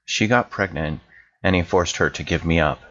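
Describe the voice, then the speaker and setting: A man's voice: low-pitched, moderate pace, fairly bright tone. A man playing a guarded heir in his thirties, confiding casually in a close friend in a scripted letter monologue. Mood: hurt, candid. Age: 30s. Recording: dull, muffled lo-fi audio.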